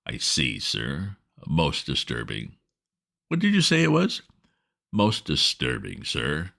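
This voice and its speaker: A man voicing a calm, deferential valet who speaks in a measured, grave manner in a storybook reading. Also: extremely low pitch, gravelly, pitched below the performer's own voice, full character voice. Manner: measured, grave, soft-spoken.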